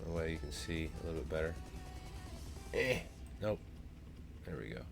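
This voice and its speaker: A man's voice: very deep voice, lively intonation, normal speed, creaky, music in the background. A man, casually talking through a crypto project on a screen share with many ums and uhs. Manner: rambling, many fillers. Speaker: crypto vlogger.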